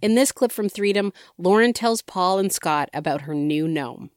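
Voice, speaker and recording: A woman's voice: mid-range voice, lively intonation, normal speed, dry recording, studio quality. A woman hosting a podcast, presenting in a scripted radio style. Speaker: podcast host.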